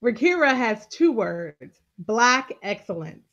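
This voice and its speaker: A woman's voice: a little high, sing-song, slow pace, a bit bright, dull audio. A woman co-hosting a casual live recap show over a video call, encouraging and laughing often.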